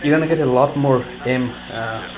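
A man giving a casual product review in his living room, talking in a halting, plainspoken way with many fillers, recorded on a camera set on a table. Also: mid-range voice, a crowd in the background, rough recording. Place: living room at home, indoors.

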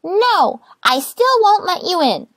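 A woman's voice: extremely high pitch, big pitch swings, slow pace, fairly bright tone, dry recording, studio quality, pitched above the performer's own voice, exaggerated voice. A woman voicing a small, grumpy female rosebud in a children's storybook, indignant and emphatic.

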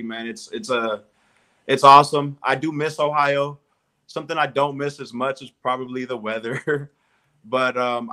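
A man's voice: medium pitch, a bit bright. Friendly, upbeat Southern Californian man. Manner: upbeat, friendly, repeats words while thinking. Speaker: guest, strength and conditioning coach. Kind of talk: casual video call interview. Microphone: video call.

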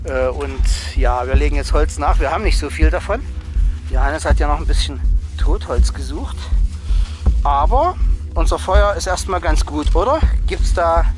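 A man's voice: fairly high pitch, lively intonation, moderate pace. Wry man narrating outdoors, music behind. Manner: playfully ornate, wry phrasing. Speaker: vlogger narrating an outdoor hike. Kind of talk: casual narration to camera, chatting with companions. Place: outdoors in a snowy forest by a campfire. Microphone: handheld camera, speaker behind it.

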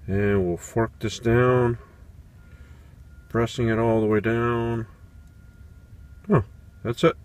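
A man's voice: medium pitch, steady tone, slow pace, pauses often, a little mellow. A man in his forties making a casual vlog inside a small camper van, thinking aloud with fillers and restarts as he works. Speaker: van life vlogger.

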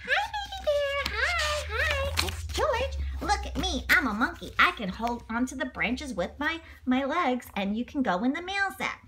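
A woman voicing a curious, excitable little boy monkey in a storybook, childlike and chattering with monkey noises. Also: very high voice, unhurried, few pauses, heavy background noise, pitched above the performer's own voice, exaggerated voice. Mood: friendly. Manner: squeals and chatters with monkey hoots.

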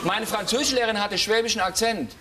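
A middle-aged man hosting a TV show, reading out a dictation slowly and joking with the contestants between sentences. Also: high voice. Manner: drawn-out dictation, teasing asides. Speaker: talk show host dictating a spelling test. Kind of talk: slow comic dictation with banter. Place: TV studio with a live audience. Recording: studio audience noise.

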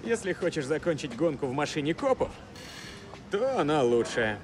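An actor playing a wry, disapproving brother in a video game, speaking in a dry, sardonic way. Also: male voice, a little high, big pitch swings, moderate pace, darkish tone, a little hiss or hum. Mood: dry, reproving. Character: wry, disapproving older brother, male mechanic.

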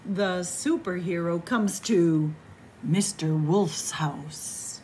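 A middle-aged woman reading a picture book aloud for children, dramatic, with hushed whispers and drawn-out words. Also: lowish voice, slight background noise. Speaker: library story time host. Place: small library room with bookshelves, indoors.

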